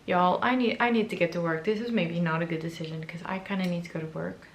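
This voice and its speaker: A woman in her twenties chatting casually to camera in a book vlog. Her voice is raspy and hoarse from allergies, and she is chatty, excitable and self-mocking. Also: lowish voice, fast, slight background noise. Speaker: book vlogger.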